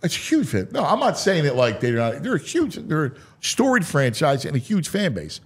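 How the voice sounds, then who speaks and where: A man's voice: on the high side, wildly swooping pitch, normal speed, husky. An American man hosting a sports talk show in casual banter, repeating and restarting phrases for emphasis.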